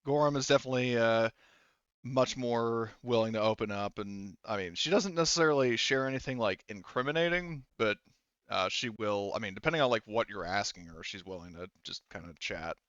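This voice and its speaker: A man running a tabletop roleplaying game casually as game master, talking in a halting, rambling way. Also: on the low side, a bit bright, smooth, dry recording, highs cut off.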